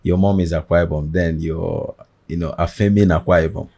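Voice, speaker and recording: Nigerian man with a very low, deep voice, who hosts a podcast from a studio. He speaks in a formal, measured presenter style, welcoming his guest and addressing the viewers. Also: steady tone, mellow. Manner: formal, deliberate presenter delivery. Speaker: podcast host. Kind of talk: podcast interview.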